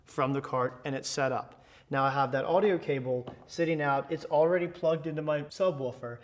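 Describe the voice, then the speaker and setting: Higher-pitched American man presenting a tutorial. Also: normal speed. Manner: explanatory, promotional. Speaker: presenter, product education manager. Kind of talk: instructional product tutorial. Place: large indoor school band room.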